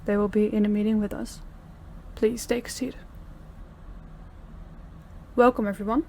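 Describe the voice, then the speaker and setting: A woman's voice: mid-range voice, steady tone, unhurried, gravelly, darkish tone, a little hiss or hum. A woman playing a stern crime boss in an audio roleplay, speaking in a commanding, businesslike way. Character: stern female crime boss running her department heads. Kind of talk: scripted audio roleplay acting.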